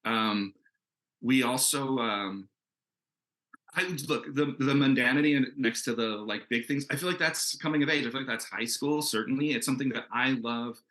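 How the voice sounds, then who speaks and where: A man's voice: mid-range voice, normal speed, a bit bright, low-quality recording. A man talking casually and enthusiastically as a comic book creator in a panel discussion, thinking aloud as he goes.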